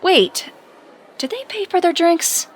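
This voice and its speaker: A woman's voice: extremely high pitch, animated, slow pace, a little hiss or hum, full character voice. An actress playing a confiding young female superhero in a conversational audio drama. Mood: candid.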